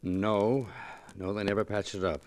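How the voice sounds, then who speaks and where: An actor playing a brisk, guarded businessman with a terse manner in a 1950s radio drama. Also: on the low side, little pitch movement, a little mellow, a little hiss or hum, lightly acted.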